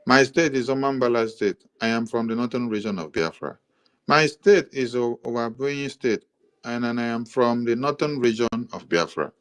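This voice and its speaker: A man with a Nigerian accent giving an explanatory monologue, listing items in a steady, repetitive rhythm with occasional hesitations. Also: mid-range voice. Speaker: commentator presenting a map.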